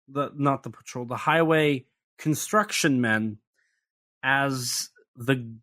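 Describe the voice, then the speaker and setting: A man's voice: mid-range voice, fairly bright tone. A man co-hosting a podcast, talking through a monster film in a chatty, analytical way with light sarcasm. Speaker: podcast co-host. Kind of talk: casual film discussion.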